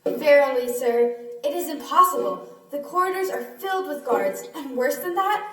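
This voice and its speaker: High, animated little page boy played by a woman. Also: normal speed. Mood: urgent, grave. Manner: archaic, courtly speech. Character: earnest little medieval page boy at Camelot. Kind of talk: old-time radio play acting. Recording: distant echoey room, music behind.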